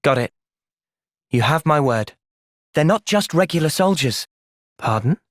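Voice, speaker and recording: A young man acting an earnest, thoughtful soldier hero in a video game cutscene, speaking in a measured, sincere way. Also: fairly high pitch, lively intonation, no room sound, clean studio sound. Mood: calm, pensive.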